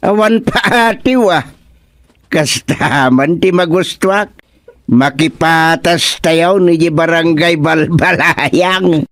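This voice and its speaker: A man with an Ilocano accent playing a proud, deliberate village chairman in a radio comedy-drama, musing in drawn-out phrases with many interjections. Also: on the high side, a bit bright, dry recording, clean studio sound. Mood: pleased, musing.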